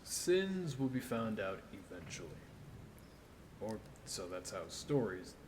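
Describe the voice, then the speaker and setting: A man's voice: lowish voice, big pitch swings, slow, a little mellow. An actor playing a wry, scruffy injured man with a teasing, informal manner in naturalistic audio drama.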